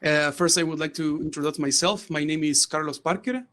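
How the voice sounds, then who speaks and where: A man from Chile, moderating a panel in a formal, halting way that often repeats words. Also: a little high, fairly bright tone. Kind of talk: moderating an online panel discussion.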